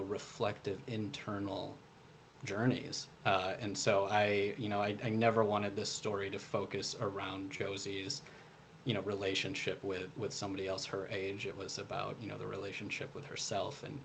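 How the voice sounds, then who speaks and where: Man, lowish voice, thoughtful, halting, author interview, faint background noise. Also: little pitch movement, gravelly, rough recording. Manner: halting, thoughtful, frequent "you know". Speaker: debut author being interviewed. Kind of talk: live online interview, unscripted explanation. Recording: background noise and room ambience.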